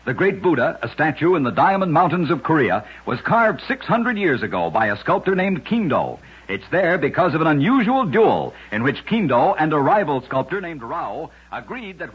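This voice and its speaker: A man hosting short radio tales of oddities, reading scripted narration in a measured old-time style with emphatic sign-offs. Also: fairly high pitch, slightly rough. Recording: dull, lo-fi old radio broadcast.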